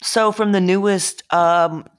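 Slow hesitant young podcast host. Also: mid-range voice, steady tone, clear, resonant tone. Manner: slow, hesitant, laughs often. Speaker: podcast host asking questions. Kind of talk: casual podcast interview.

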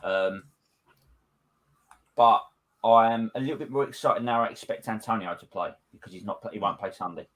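Emphatic low-voiced football podcast host. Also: male voice, moderate pace, pauses often, fairly bright tone. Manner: emphatic, repeats phrases. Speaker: podcast host leading discussion. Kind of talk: casual football discussion.

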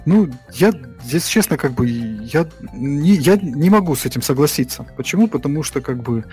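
A man co-hosting a casual podcast about games, talking in halting bursts full of fillers and restarts. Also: fairly high pitch, expressive, darkish tone, soft background music. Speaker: podcast co-host.

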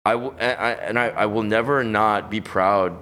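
A young man from Los Angeles rambles through a casual podcast chat, full of slang, swearing and fillers. His voice sits a little low. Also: normal speed, bright, forceful tone. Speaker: podcast guest. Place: hot room on a high floor.

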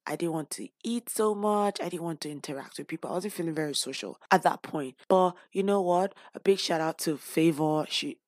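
A woman hosting her own podcast with a hoarse, worn voice, talking casually and openly with playful asides. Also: deep voice, fairly quick, few pauses, darkish tone, no room sound, clean studio sound. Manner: chatty and open, with playful asides. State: lost voice, hoarse. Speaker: podcast host; teacher. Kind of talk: casual personal podcast talk.

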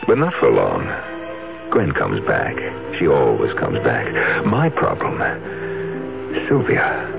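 An actor playing a volatile, scheming, murderous husband in a 1970s radio drama, with a halting, theatrical delivery. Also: male voice, extremely low pitch, huge pitch swings, gravelly, full character voice. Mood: brooding. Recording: muffled old radio recording, loud music behind.

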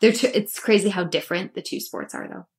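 A woman's voice: lowish voice, wildly swooping pitch, fairly quick, vocal fry. A woman hosting a podcast who asks her guest questions in a friendly, upbeat manner and laughs easily. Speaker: podcast host. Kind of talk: casual interview chat.

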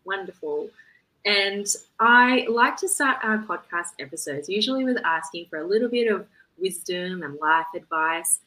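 A woman's voice: mid-range voice, animated, normal speed, pushed and bright, low-quality recording. A woman hosting a podcast interview, introducing her guest and asking questions in a chatty, loosely phrased way. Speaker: podcast host.

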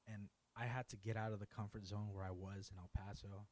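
A man speaking as a podcast guest, giving an earnest and reflective personal testimony. His voice sits low and has a mellow, warm tone. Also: flat delivery, fairly quick. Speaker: interview guest.

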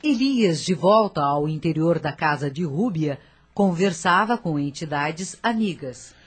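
A woman narrator of a scripted radio drama, husky and dramatic, telling the story with a moralizing turn. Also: sits low, expressive, measured pace, a little hiss or hum.

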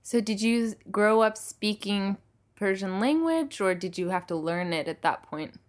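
A woman's voice: mid-range voice, unhurried. A young woman hosting a podcast, asking the guest curious questions and murmuring along as she listens. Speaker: podcast host. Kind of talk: interviewing.

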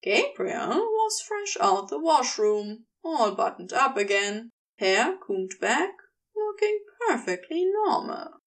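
A woman reads a story aloud in a high, creaky voice. Her narration is expressive and measured, with plenty of melodic movement. She sounds a little way off from the microphone. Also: distant mic. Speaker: podfic reader.

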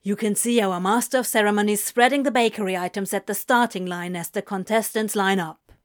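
A woman voicing a grumpy, irritated man who sounds flat and weary. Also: medium pitch, fairly quick, close to an everyday voice.

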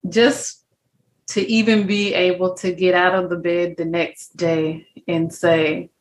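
A woman's voice: fairly low pitch, level tone, slow pace, slight background noise, rough recording. A woman hosting an interview, speaking reflectively and smiling as she talks. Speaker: host. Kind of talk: conversational interview.

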